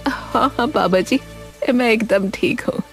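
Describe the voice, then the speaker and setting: A woman voicing an anxious, devoted elderly village mother in an animated story, hesitant and pleading. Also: a little high, unhurried, low-quality recording. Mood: humble, tender. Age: older. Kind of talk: Hindi animated story dialogue. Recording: music under the voice.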